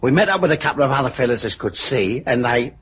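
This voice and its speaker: A man acts a cheerful working-class Cockney henchman in a 1950s radio drama. He is chummy and slangy, with a lively rise and fall. The sound is dull, with a layer of old noise. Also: medium pitch, fast talker, natural voice. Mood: gleeful, chatty. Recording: muffled, noisy 1950s radio recording.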